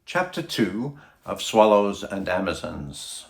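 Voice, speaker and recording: A male audiobook narrator with a fairly low voice. He reads a children's story from a script. The recording carries a distinct room echo. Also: animated, slow pace, creaky, over music. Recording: echoey room sound.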